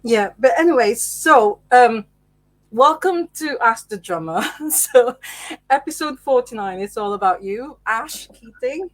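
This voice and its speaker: A woman's voice: a little high, lively intonation, measured pace, rough recording. A woman hosting a podcast, chatting casually with loose, tentative phrasing. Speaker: podcast host. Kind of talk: casual interview chat.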